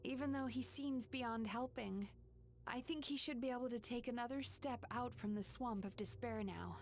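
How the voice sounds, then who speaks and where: A woman voicing a calm, measured female dream-eating spirit who heals in a game. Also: on the high side, a little hiss or hum, natural voice.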